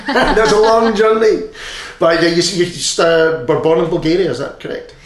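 A man's voice: high-pitched, normal speed. A man interviewing an author, asking long, rambling, enthusiastic questions. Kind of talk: interview conversation. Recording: echoey room, faint noise.

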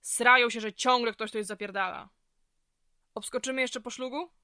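A brash, crude female inmate in a radio play. The voice is bright and crisp, dryly recorded. She speaks in coarse, blunt prison slang. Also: on the high side, steady tone, moderate pace, dry recording, studio quality. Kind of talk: audio drama acting.

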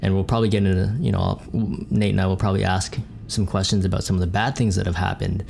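A man's voice: low-pitched, level tone, quick pace, gravelly, a little mellow, slight background noise. A man co-hosting a podcast, chatting casually and loosely with a lot of swearing and filler words. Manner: loose and profane, lots of fillers. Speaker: podcast co-host.

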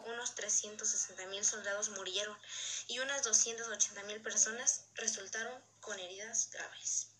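A woman's voice: medium pitch, bright, forceful tone, slight background noise, low-quality recording. A woman presenting in a formal way, reading scripted educational narration aloud.

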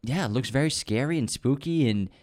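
A man co-hosting a movie news podcast, chatting casually and excitedly, with fillers, restarts and quick laughs. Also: mid-range voice. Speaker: podcast co-host.

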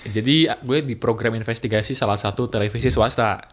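A man, talking in relaxed podcast chat. His voice sits at an ordinary middle pitch. He drops English phrases into his slangy talk. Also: brisk. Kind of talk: casual chat.

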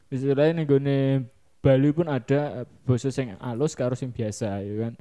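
A man with a Javanese accent, talking in a casual, joking and animated way as a discussion host. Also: medium pitch, normal speed. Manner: animated, joking, mimics others in put-on voices. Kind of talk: casual chat mixing regional speech and Indonesian.

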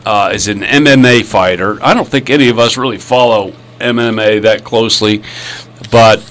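Bright-voiced rambling male host. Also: fairly low pitch, normal speed. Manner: rambling, joking, many fillers. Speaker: podcast host. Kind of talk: casual chat.

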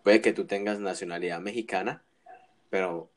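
A man's voice: low-pitched, steady tone, normal speed. A man co-hosting a casual wrestling chat, giving opinions with frequent restarts and tag questions. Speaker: wrestling podcast co-host.

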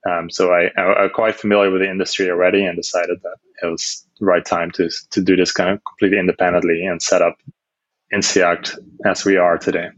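A man's voice: low voice, little pitch movement, a little fast, a bit bright, no room sound, highs cut off. A man in his thirties from the Netherlands, a startup co-founder answering interview questions casually, with many fillers and restarts.

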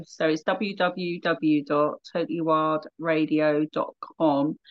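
A woman's voice: on the low side, lo-fi sound. A woman with a southern English accent, a radio presenter chatting casually in an interview, with lots of fillers. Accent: English, southern England. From England. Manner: chatty, many fillers, laughs readily.

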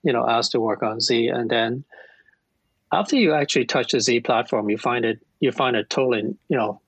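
Husky-voiced man. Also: medium pitch, normal speed, no room sound. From Hong Kong. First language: Cantonese. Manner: plain explaining, with frequent fillers. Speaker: compiler engineer. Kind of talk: casual interview answers.

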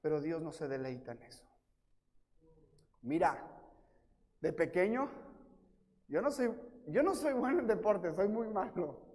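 A man from Mexico with a Mexican accent, preaching a sermon as pastor, telling self-mocking stories and acting out quotes in a lively way. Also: high-pitched, unhurried, long pauses. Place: church. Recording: reverberant church hall.

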